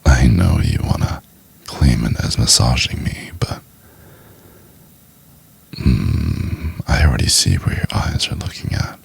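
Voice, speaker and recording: A man playing a doting, teasing boyfriend in an intimate ASMR roleplay, murmuring in a husky, halting half-whisper. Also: extremely low pitch, lively intonation, exaggerated voice. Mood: teasing, firm. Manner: slow, halting, murmured delivery with pauses. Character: doting, teasing boyfriend trying to study for exam.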